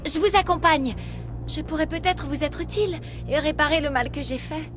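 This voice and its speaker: High-pitched, anxious young researcher, acted by a woman. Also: moderate pace, music in the background, natural voice. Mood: remorseful, anxious. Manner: earnest, anxious. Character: earnest, guilt-ridden young female company researcher. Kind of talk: dramatic French game dub acting.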